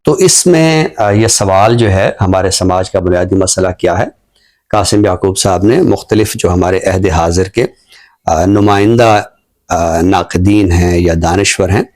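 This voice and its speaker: A man with a fairly low voice and a Pakistani accent speaks as a literary commentator. His delivery is measured and formal, with thoughtful pauses inside sentences. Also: a little mellow. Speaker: literary talk show guest and book reviewer. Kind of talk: unscripted book commentary and poetry recitation.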